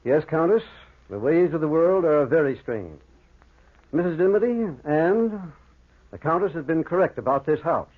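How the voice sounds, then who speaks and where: Husky, grave doctor, old radio. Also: male voice, fairly high pitch, animated. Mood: grave, controlled. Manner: formal, deliberate, dramatic. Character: grave, authoritative doctor recounting a horror. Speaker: actor and narrator. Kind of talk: 1940s radio acting. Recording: muffled, phone-like old radio recording.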